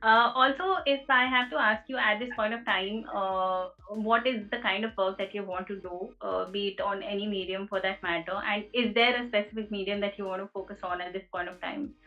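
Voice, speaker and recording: A woman interviewing, asking long, halting questions padded with many "uh"s. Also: mid-range voice, fairly even intonation, bright, forceful tone, soft background music. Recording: dull, lo-fi audio.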